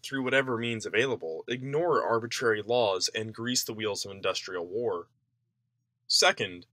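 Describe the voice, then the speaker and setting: A man reading a political essay aloud as scripted narration, in an emphatic, declarative manner with measured phrasing. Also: medium pitch, bright, forceful tone, dry recording, clean studio sound.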